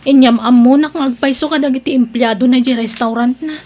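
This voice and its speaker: A woman as a high-voiced, whiny young Filipina niece. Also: fairly even intonation, fairly quick, a little mellow, lightly acted. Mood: haughty. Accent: Filipino, Ilocano. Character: proud young niece Mira, reluctant new restaurant dishwasher, complaining daughter. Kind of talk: Ilocano audio drama acting.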